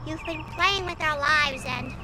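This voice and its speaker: A woman's voice: high-pitched, a little slow, background noise. A woman voicing an earnest, brainy little cartoon girl for a video game, articulate and inquisitive.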